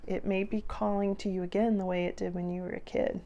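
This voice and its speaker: A slightly hoarse woman giving a casual, chatty tarot reading, speaking directly to her viewers and repeating phrases for emphasis. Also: mid-range voice, little pitch movement, a little fast, warm tone, highs cut off. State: slightly hoarse after a cough. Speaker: tarot reader and channel host.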